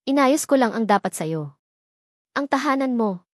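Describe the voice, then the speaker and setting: A woman's voice: on the high side, animated, moderate pace, no echo. Devoted young millionaire lover in an audio romance, read by a woman, earnest, romantic, dry close sound. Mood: tender, protective. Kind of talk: audio romance story dialogue.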